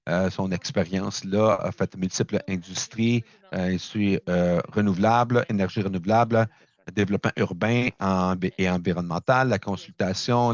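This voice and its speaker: A man's voice: low-pitched, lo-fi sound. A man hosting an event, introducing a speaker in a hesitant, filler-heavy way.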